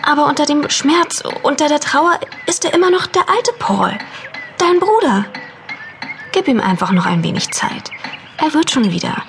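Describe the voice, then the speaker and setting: A woman's voice: sits high, animated, normal speed, vocal fry, background noise. An actress playing a caring, loyal young woman in an audio drama, with a reassuring manner. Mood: soothing. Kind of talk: German audio drama acting.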